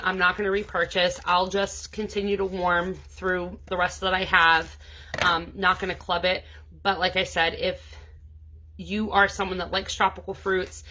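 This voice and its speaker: Woman, lower-pitched but bright, congested from allergies; relaxed, rambling reviewer. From New England. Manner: chatty, many "um" and "like" fillers. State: stuffed up from allergies. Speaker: scent consultant. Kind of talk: casual product review.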